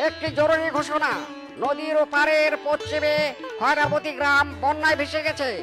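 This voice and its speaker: A woman's voice: high-pitched, level tone, normal speed, lightly acted. A woman voicing a kind, eager young animal villager in a cartoon, caring and earnest in manner. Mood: earnest announcing. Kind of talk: cartoon voice acting. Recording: music behind the voice.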